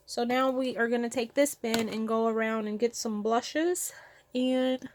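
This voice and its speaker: A woman's voice: on the high side, fairly even intonation, measured pace, a little hiss or hum. A woman with a stuffy cold nose, chatting casually and thinking aloud as she sorts her makeup at home. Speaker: beauty vlogger. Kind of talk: casual makeup vlog chat. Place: home room with vanities.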